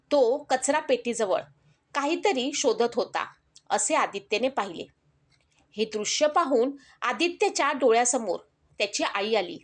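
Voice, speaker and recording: A woman storyteller reading scripted narration of an emotional moral story in a measured, earnest manner. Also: on the high side.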